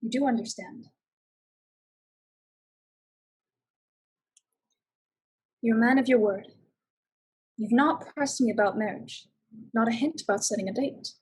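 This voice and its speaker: A woman acting an earnest, probing survivor who keeps a pirate company in a staged play reading, her voice husky and breathy. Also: on the high side, brisk, low-quality recording.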